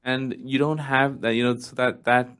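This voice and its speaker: A man's voice: medium pitch, flat delivery, normal speed, a bit bright, clean tone. A man speaking as a panelist in a conversational policy discussion, candid and explanatory in the way he talks.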